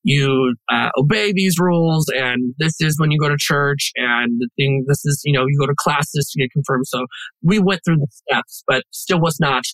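A man's voice: a little high, moderate pace, a bit bright, dry recording, low-quality recording. A thirty-year-old man from the American Midwest, a drag performer, talking casually as a podcast guest. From US Midwest, suburbs of Chicago. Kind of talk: casual conversation.

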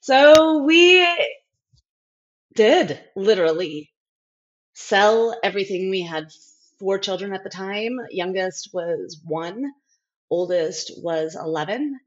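A middle-aged woman giving a candid interview in a conversational way, with halting phrasing and thoughts that trail off, on a lo-fi recording. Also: mid-range voice, animated. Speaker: interview guest, former overseas aid worker.

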